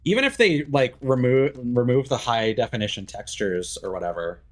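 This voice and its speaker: A man's voice: medium pitch, animated, moderate pace. A man giving casual live game stream commentary, rambling and thinking aloud into a streaming microphone.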